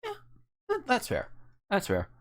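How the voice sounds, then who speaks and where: A podcast co-host chatting casually and with strong opinions, swearing freely and acting out imagined quotes in an animated way. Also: medium pitch, unhurried.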